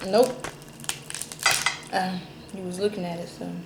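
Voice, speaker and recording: A woman's voice: on the low side, little pitch movement, measured pace. Chatty woman rambling over a meal. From Jamaica. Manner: rambling and chatty, many fillers, tells stories. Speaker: mukbang host. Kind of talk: casual chat while eating. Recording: roomy with faint noise.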